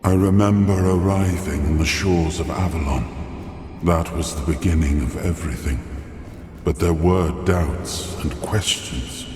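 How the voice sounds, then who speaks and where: A man's voice: low voice, unhurried, darkish tone. An actor playing a weary ancient king in a video game, solemn and brooding, with a lost air. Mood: solemn, proud. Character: weary ancient king, amnesiac, freed from long imprisonment. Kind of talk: dramatic video game cutscene acting and narration. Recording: echoing hall reverb under prominent music. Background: music.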